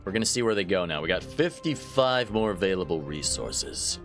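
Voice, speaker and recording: A man's voice: low voice, big pitch swings, moderate pace. A man hosting a let's play, casually chatting to viewers with a slightly stuffy nose. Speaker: gaming channel host. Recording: faint game music behind.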